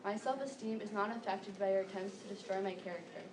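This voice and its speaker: A woman's voice: medium pitch, steady tone, fast talker. A young teenage girl reciting scripted monologue lines in a readers theater piece. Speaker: student performer. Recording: echoey room, distant mic, background noise.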